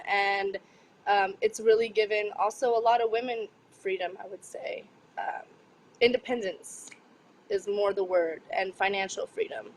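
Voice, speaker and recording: A woman's voice: mid-range voice, a little hiss or hum, rough recording. A woman appearing as a featured guest on a live show, talking casually with lots of fillers. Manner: chatty, relaxed, filler-heavy. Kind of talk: casual talk.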